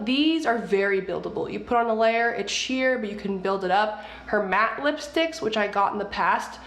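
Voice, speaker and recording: A young woman with a stuffed-up nose from a cold, chatting casually as she reviews makeup. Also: on the high side. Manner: chatty, gushing, many fillers. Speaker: beauty vlogger. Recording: echoey room.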